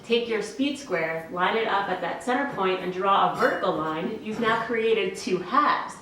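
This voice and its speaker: A woman giving a clear, step-by-step woodworking tip. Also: mid-range voice, expressive, a little slow, bright, forceful tone, very echoey, recorded from a distance, slight background noise, rough recording. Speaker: guest maker. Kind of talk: instructional tip.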